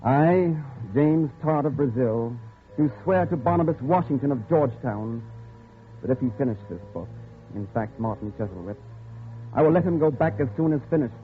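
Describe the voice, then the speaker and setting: An actor playing a weary, desperate captive in an old-time radio drama, earnest and emphatic in both dialogue and narration. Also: male voice, medium pitch, animated, moderate pace, faint music behind, lightly acted. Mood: grim recounting. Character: desperate male captive of a jungle recluse, weary, pleading. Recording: tinny, band-limited vintage radio recording.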